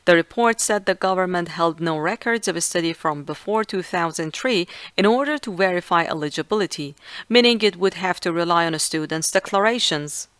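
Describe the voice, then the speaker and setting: Narrator for exam read-aloud passages: crisp, measured, formal, recorded dry. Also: medium pitch, fairly bright tone, no room sound. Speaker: narrator of practice texts.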